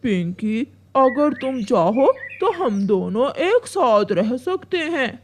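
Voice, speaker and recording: Woman voicing slow, high, scheming cartoon crow husband. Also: sing-song, a little hiss or hum. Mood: flirtatious, coaxing. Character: smooth-talking, bossy male crow husband, flirtatious and scheming. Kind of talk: Hindi cartoon story acting.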